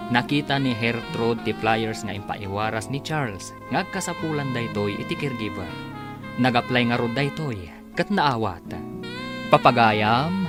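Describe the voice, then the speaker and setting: Animated male radio drama announcer over music. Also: medium pitch. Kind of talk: scripted dramatic narration.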